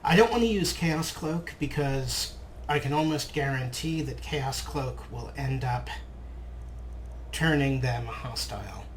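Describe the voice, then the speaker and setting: A man's voice: medium pitch, animated, unhurried. A man giving casual, wryly musing commentary as he plays a video game. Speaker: let's play host. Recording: clear background game noise. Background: video game sound effects.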